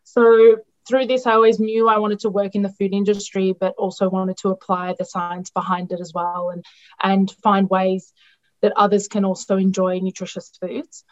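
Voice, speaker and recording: A woman's voice: medium pitch, little pitch movement, normal speed, a little mellow. A woman who is a dietitian, her Greek, answering interview questions with many ums, restarts and hesitations. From Australia. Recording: dull lo-fi sound.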